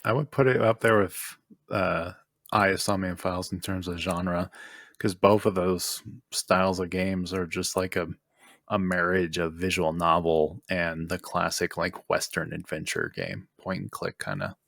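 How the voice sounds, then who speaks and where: A man's voice: low-pitched, a little slow, vocal fry, fairly bright tone, no room sound, studio quality. A man chatting casually about games as a podcast co-host.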